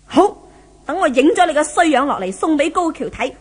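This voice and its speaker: A woman's voice: sits high, sing-song, brisk. A woman playing a spiteful, imperious young rich villainess in a 1970s Hong Kong Cantonese radio drama. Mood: cruel gloating. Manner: taunting, haughty, theatrical.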